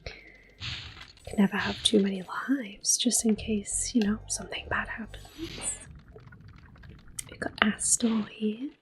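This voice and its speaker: Soft whispering woman, slow-paced ASMR. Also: medium pitch, steady tone, poor audio. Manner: gentle, unhurried. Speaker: ASMR gameplay host. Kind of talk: soft-spoken ASMR game commentary.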